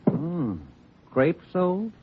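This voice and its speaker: A man's voice: sits high, big pitch swings, slow, husky, exaggerated voice. An actor playing a plain-spoken, observant male police detective, the sidekick in an old radio drama. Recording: tinny, faintly noisy old radio recording.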